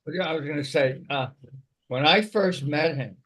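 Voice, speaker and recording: A man's voice: on the high side, normal speed, fairly bright tone, low-quality recording. Man with a mild stutter. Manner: explanatory, repeats words. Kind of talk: casual spiritual discussion. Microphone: online video call.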